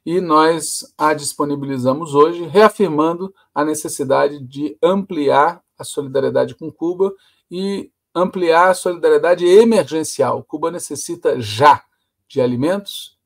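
A man's voice: fairly high pitch, moderate pace. Older man giving firm political commentary. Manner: firm, opinionated delivery. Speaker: host and commentator. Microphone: video call webcam.

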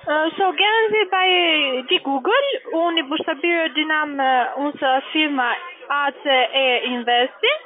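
A woman who is not a native speaker, talking haltingly in broken grammar as a call-centre agent on the telephone. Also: sits high. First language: not German. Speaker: phone scam caller posing as investment firm agent. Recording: tinny narrowband phone line.

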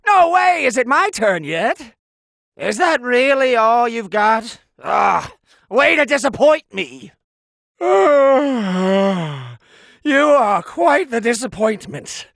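Anime game villainous cat deity: high, raspy-creaky, hugely animated, petulant and imperious, fat glutton with a blustering bite. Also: male voice, slow pace, no echo, clean studio sound, full character voice. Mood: grudging, haughty. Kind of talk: anime video game voice acting.

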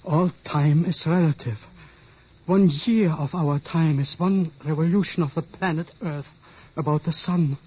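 An actor playing a grieving older scientist and father, speaking haltingly and weightily in an old radio drama. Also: on the high side, a little mellow, husky. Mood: grave explaining. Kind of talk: 1950s radio drama acting. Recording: muffled, humming old radio recording.

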